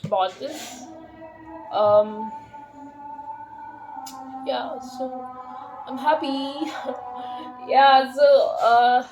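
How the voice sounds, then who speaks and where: Slow, high-voiced woman in her thirties. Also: music in the background, poor audio. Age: 30s. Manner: slow, relaxed and rambling, with soft laughs and many fillers. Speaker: vlogger. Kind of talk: casual chat to camera.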